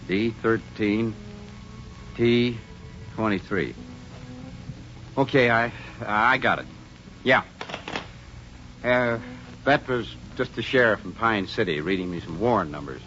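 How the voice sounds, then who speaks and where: A man's voice: lowish voice, pushed and bright. A man acting a brusque, dismissive, condescending small-town sheriff in a 1950s radio western. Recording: muffled old radio recording.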